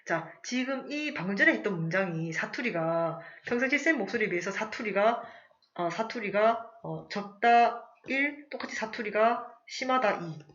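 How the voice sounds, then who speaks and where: A Korean-speaking English conversation teacher in a casual live online class. The teacher clears their throat often, uses many fillers, and reads example sentences aloud slowly. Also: medium pitch, animated, fairly bright tone, highs cut off.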